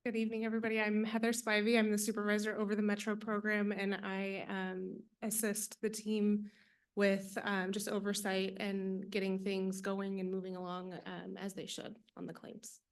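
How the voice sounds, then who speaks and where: A woman with a smooth, clear voice introduces herself as a supervisor. She keeps her pitch strikingly level and speaks in a measured way. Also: completely flat delivery. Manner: measured, with ums. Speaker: program supervisor.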